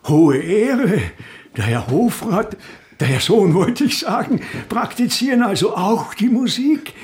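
An actor playing a gruff shopkeeper father who swings theatrically between suspicious bluster and fawning servility. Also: sits high, very animated, unhurried, darkish tone. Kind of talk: theatrical radio drama.

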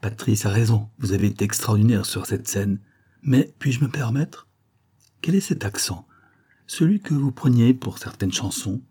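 A man's voice: on the low side, lively intonation, normal speed, dark tone, husky. A man voicing a tall, athletic woman who asks questions politely and with curiosity. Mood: curious.

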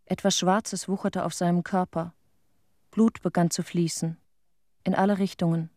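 An actress playing a haunted, tender middle-aged widow in a radio play, speaking in halting short phrases of her dead husband. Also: fairly low pitch, fairly even intonation, darkish tone, no echo, studio quality, lightly acted. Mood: sorrowful. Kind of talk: intimate radio play monologue.

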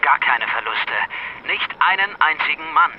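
An obedient military officer in a radio play, acted by a man. His voice is high, formal and clipped, with a dull lo-fi sound and faint noise behind. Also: expressive, normal speed, natural voice. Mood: dutiful, nervous. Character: obedient, deferential Turkish army officer reporting. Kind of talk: German radio drama acting.

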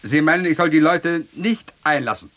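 Ein Schauspieler spielt in einem komischen Hörspiel einen gehorsamen, wortreichen, trocken besorgten Sklaven. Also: male voice, on the high side, expressive, moderate pace, fairly bright tone. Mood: puzzled. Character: obedient, wryly worried male slave of a vintner. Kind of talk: comic stage-style radio drama dialogue. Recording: 1969 German radio play.

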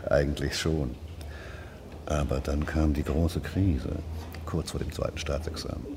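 An actor playing a matter-of-fact homicide detective of about forty, talking conversationally in a radio drama. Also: male voice, a bass voice, slow, background noise, full character voice. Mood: affable.

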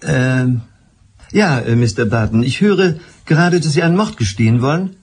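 An actor playing a courteous, skeptical police inspector in a German radio play, speaking in a measured, slightly hesitant way. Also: male voice, mid-range voice, expressive, darkish tone, breathy edge. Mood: polite, probing.

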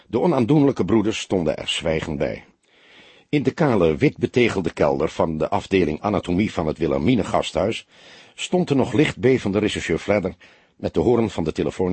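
A man's voice: deep voice, expressive, moderate pace, a little mellow, breathy edge, dry recording. A man narrating a crime novel as an audiobook, reading scripted prose in a dramatic storytelling style.

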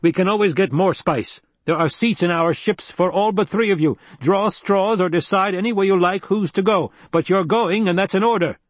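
A man's voice: high-pitched, moderate pace, hardly any pauses, no echo, voice pushed up. A man voicing an impatient, commanding nobleman and military leader in an audiobook, barking clipped orders. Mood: angry, decisive. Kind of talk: dramatized audiobook dialogue.

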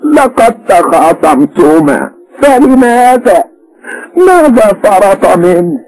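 A man doing a shrill wailing older mother. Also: sing-song, slow pace, a little mellow, exaggerated voice, poor audio. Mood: prying. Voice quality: high wailing falsetto.

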